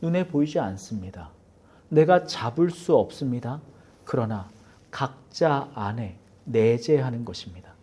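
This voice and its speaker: A man's voice: mid-range voice, animated, a little slow, warm tone, husky. A man from Seoul preaching a sermon as pastor of his church. He preaches dramatically, acting out quoted voices and sometimes dropping to a near whisper. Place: church sanctuary.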